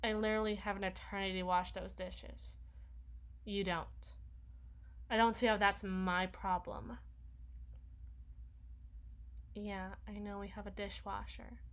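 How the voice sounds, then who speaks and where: A woman's voice: mid-range voice, level tone, lightly acted. A woman playing a sulky, sarcastic young vampire shut-in in an ASMR roleplay, whining and mumbling through a one-sided conversation. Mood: grumpy, defensive. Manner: whiny, sarcastic, halting, dropping into mumbles and sighs. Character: sulky, sarcastic young female vampire, reclusive lazy gamer.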